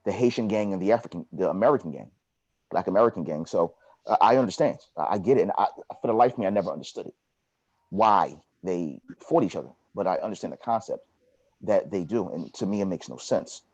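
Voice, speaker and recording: A man from New Jersey hosts a podcast interview over a video call, talking quickly in a low, husky voice. He speaks reflectively and conversationally, and the call audio sounds dull and muffled. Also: animated, darkish tone, no room sound. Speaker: podcast host. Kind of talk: casual interview.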